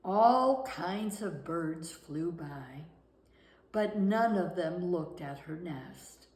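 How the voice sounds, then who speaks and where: A woman reading a children's picture book aloud with deliberate, expressive storytelling. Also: fairly low pitch. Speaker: guest storyteller. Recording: roomy, echoing room sound.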